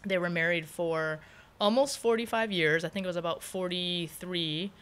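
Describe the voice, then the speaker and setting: A woman from Hawaii hosting a podcast, talking loudly and freely with plenty of opinions. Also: on the low side, fairly even intonation, moderate pace, smooth. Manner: loud, opinionated, talkative. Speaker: podcast host. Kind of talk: casual chat.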